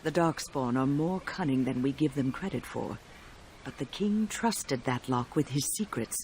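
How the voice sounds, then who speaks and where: An actor playing a composed male warrior companion in a video game, speaking in a measured way. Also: mid-range voice, lively intonation, a little mellow, a little hiss or hum, lightly acted. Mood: reasoned. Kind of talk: video game cutscene acting.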